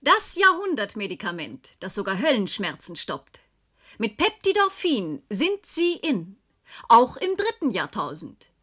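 Exuberant, swooping advertising voice. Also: female voice, wildly swooping pitch, a little slow, pushed and bright, full character voice. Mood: gushing. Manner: exaggerated sales pitch. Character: exuberant female advertising voice selling a futuristic miracle drug. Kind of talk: mock commercial in a radio play.

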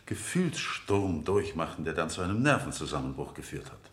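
An actor playing a relentless, insinuating cross-examining lawyer in a radio play, with formal, pointed, sardonic questioning. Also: male voice, low-pitched, very animated, brisk, fairly bright tone. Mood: suavely insinuating. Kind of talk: 1970 German radio play acting. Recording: echoey vintage radio studio.

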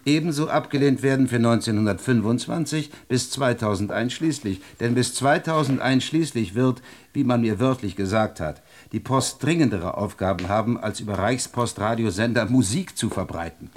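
A man's voice: mid-range voice, normal speed, few pauses, natural voice. Male, mid register, acting: 1920s state secretary for radio drama, formal, persuasive, sure of himself. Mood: insistent, confident.

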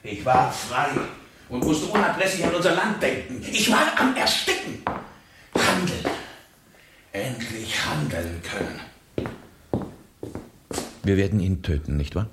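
An actor playing a grim, fanatical revolutionary who escaped prison, terse and intense, in a German radio drama. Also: male voice, mid-range voice, sing-song, unhurried, long pauses, echoey room, very noisy. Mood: impassioned.

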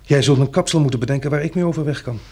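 An actor playing a calm, practical survivor in a radio drama, reasoning in a measured way and soothing like a tender protector. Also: male voice, medium pitch, husky. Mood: matter-of-fact.

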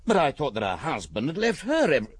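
Radio drama role: evasive gentleman, husky, swooping defensive delivery, dry sound. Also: a little high, wildly swooping pitch, fast, exaggerated voice. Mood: flustered, defensive. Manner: defensive, protesting. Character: evasive, flustered gentleman lover under suspicion. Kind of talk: British radio drama acting.

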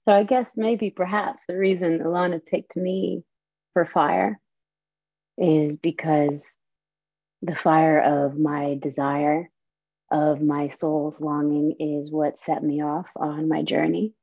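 A young woman from Saudi Arabia and Palestine speaks with slow, deliberate earnestness, her voice sitting a little low. The audio is dull and lo-fi, with a close, dry sound and no room around it. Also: flat delivery, no echo. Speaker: storyteller and performer. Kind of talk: theatrical monologue and personal talk.

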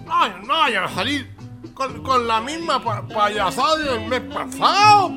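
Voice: mid-range voice, sing-song, slow pace, over music. An actor voicing an elderly Chilean husband in a radio drama, a grumbling pensioner who stammers and repeats words indignantly. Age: older.

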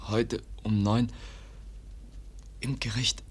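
A man's voice: lowish voice, little pitch movement, slightly rough, exaggerated voice. A faint, wounded young man. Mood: weak, faltering. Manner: broken, clipped sentences, slow and faint. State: weak from a stab wound, breathy whisper. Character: wounded young man Kaspar, weak, halting. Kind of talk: radio play acting.

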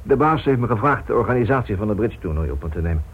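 A middle-aged senior police inspector in a radio play , played by a man, fussy and evasive. His voice is low and husky and runs along quickly, placating and mildly grumbling, over a thin old broadcast recording. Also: animated, slight background noise, natural voice. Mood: hesitant, evasive. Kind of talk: radio drama acting. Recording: muffled, narrow-band old radio recording.

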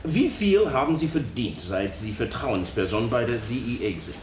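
A man's voice: medium pitch, sing-song, moderate pace, close to an everyday voice. An actor voicing a male courtroom questioner who asks formal, probing questions in a documentary reenactment. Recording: echoey room with faint noise.

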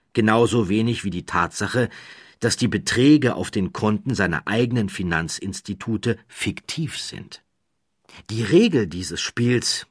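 A man with a standard German accent narrating a scripted documentary story in measured, deliberate phrases, with dramatic emphasis and a wry undertone. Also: lowish voice, breathy edge, no room sound, clean studio sound.

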